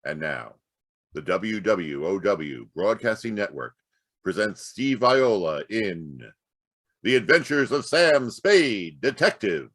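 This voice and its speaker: A man's voice: fairly low pitch, sing-song, slow pace, fairly bright tone. A man announcing a radio show in a scripted, grand, theatrical 1940s style.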